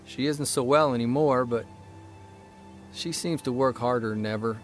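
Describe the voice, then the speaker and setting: A man voicing a young newspaper columnist, telling a story in a measured, fond way. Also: medium pitch, soft background music, close to an everyday voice. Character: young male newspaper columnist, earnest, reflective. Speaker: actor reading a column in character. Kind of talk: scripted narration and radio drama acting.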